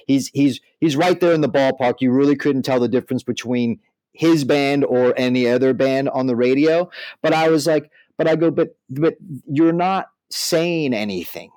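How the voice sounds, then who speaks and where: A man with an average-pitched voice co-hosts a casual discussion podcast. He is informal and makes his points with emphasis, whether talking or reading from an article. The recording is dry, with hardly any room around the voice. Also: a little mellow, no room sound, rough recording. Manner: informal, emphatic, peppered with "like" and "I mean". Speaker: podcast co-host.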